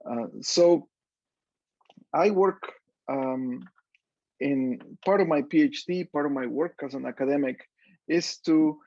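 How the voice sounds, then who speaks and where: Gravelly Peruvian-accented man in his forties. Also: a little high, takes it slowly, pauses often, darkish tone, no echo, highs cut off. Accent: Peruvian Spanish. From Peru. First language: Spanish. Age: 40s. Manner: earnest, reflective, frequent fillers and restarts. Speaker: panel speaker, academic. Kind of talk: panel talk.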